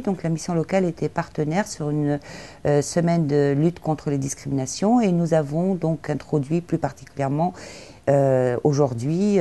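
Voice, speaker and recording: Low-voiced, mellow woman explaining. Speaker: local youth employment agency representative. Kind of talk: spoken interview recap of a public debate.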